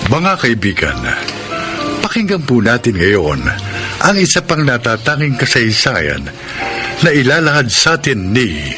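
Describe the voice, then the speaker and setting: Male Filipino radio drama announcer, slow scripted delivery with lively intonation, strong music bed. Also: medium pitch. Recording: loud music over the announcer.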